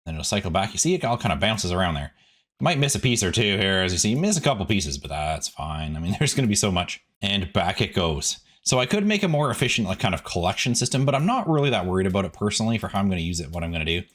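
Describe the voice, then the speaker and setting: Man, low-pitched, highly animated intonation, chatty gaming host, casual rambling commentary. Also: quick pace. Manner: chatty, rambling asides to viewers.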